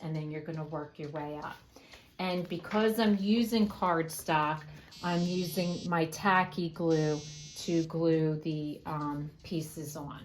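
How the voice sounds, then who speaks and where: A woman explaining a paper craft step by step in a casual, unhurried way with frequent fillers, her voice going hoarse. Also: lowish voice, a little hiss or hum. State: losing her voice, coughing. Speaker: craft tutorial host.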